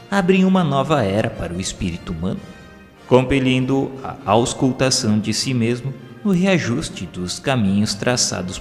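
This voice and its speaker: A Brazilian man reading solemn scripted religious narration in a formal, measured, oratorical way. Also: lowish voice. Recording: long hall reverb, music underneath. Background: background music.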